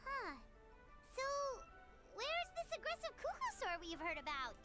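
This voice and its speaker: A woman voicing a tiny, excitable, childlike fairy companion in a video game, with a squeaky voice. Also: a little slow, over loud music, heavily acted. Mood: curious.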